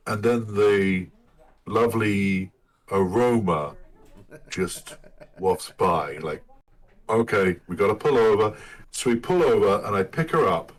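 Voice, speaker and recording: Husky-voiced dad telling a story. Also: male voice, lowish voice, low-quality recording. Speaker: podcast co-host. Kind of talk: casual chat, storytelling. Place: lives in Michigan.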